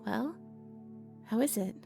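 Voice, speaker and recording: A woman playing a polite, shy owl shopkeeper in a romantic roleplay audio drama, speaking gently and hesitantly. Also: on the high side, takes it slowly, vocal fry, soft background music. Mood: shy, warm.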